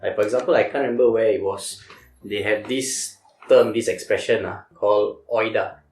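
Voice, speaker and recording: A man's voice: on the low side, normal speed, a little mellow, reverberant. A man with a Singaporean accent in a casual chat, thoughtful and explaining with examples.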